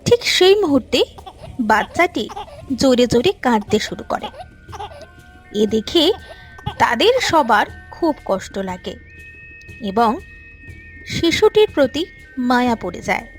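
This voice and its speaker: A woman's voice: a little high, big pitch swings, slow pace, long pauses. A woman narrating a scripted story as the storyteller. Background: soft music.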